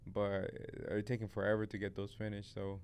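Laid-back podcast host, young man; keep it smooth and relaxed, slightly low. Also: brisk. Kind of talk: casual chat. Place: podcast studio with neon sign. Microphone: studio mic on boom arm, headphones.

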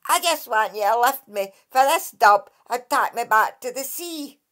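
A woman's voice: a little high, lively intonation, measured pace, fairly bright tone. A woman voicing a tiny, ugly male water fairy with a Scottish accent, cheeky and scolding.

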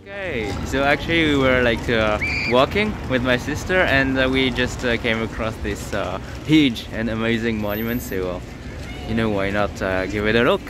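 A man's voice: mid-range voice, moderate pace, music in the background. A young man with a French accent, chatting and joking through a casual city vlog, filmed on a phone at arm's length outdoors. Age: young adult. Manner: chatty, joking, many fillers and restarts. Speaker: vlogger. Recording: outdoor city noise behind. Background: street noise.

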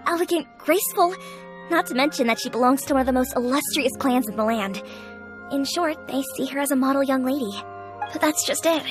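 A woman playing a high-voiced chatty restaurant owner. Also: natural voice. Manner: warm, confiding, talkative. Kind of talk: video game voice acting. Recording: game audio with music bed.

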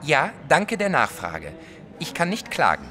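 A man's voice: a little high, huge pitch swings, a little slow, over music. An actor playing a small, self-righteous, jealous male artist in an audio drama, vehement and defensive in manner. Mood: composed. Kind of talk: German audio drama acting.

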